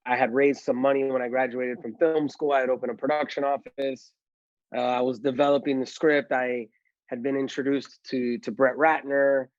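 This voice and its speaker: A man's voice: medium pitch, level tone, moderate pace, no echo, highs cut off. A man, a filmmaker telling his story in a casual podcast interview and leaning on "like" and "you know". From Miami, Florida. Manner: chatty storytelling, heavy use of "like" and "you know". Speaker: guest filmmaker.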